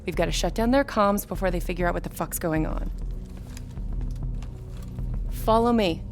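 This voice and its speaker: An actress playing a brisk, capable female intelligence agent in a military video game, giving clipped, technical briefings. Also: fairly low pitch, lightly acted. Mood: brisk, focused. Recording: game music behind the voice.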